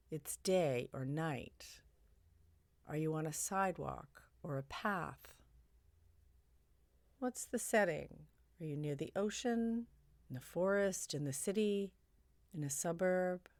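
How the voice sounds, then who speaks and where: A low-voiced woman narrates a guided meditation in a close, dry recording. She offers soothing, gentle suggestions at a very unhurried pace, leaving long pauses between phrases. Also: no echo.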